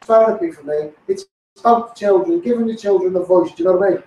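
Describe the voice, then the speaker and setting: High-pitched Scouse man, echoey and distant. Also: fast talker, warm tone, lo-fi sound. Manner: insistent, repetitive, directly addressing politicians. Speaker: campaigning video host. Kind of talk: impassioned campaign monologue to live viewers.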